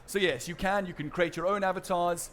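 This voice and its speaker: South African male presenter, conference stage, relaxed, filler-heavy delivery, faint hiss behind. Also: high voice, fairly quick. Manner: casual and chatty, many fillers and restarts. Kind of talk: conference seminar talk with audience questions.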